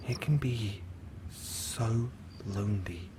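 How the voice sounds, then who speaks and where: A man playing a lonely, lovesick supervillain in an audio roleplay, theatrical and halting, often dropping into breathy near-whispers. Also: fairly low pitch, background noise. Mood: lonely, yearning. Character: lovesick, lonely supervillain, suave musical phantom, obsessive and theatrical. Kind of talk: intimate audio roleplay acting.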